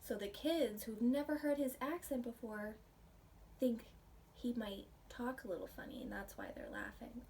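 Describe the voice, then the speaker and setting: An American woman, a pre-K teacher, reading a picture book aloud and explaining it simply to young children in a gentle, child-directed way. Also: on the high side, normal speed. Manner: gentle, child-directed, asks the listeners questions. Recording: distant mic, faint background noise.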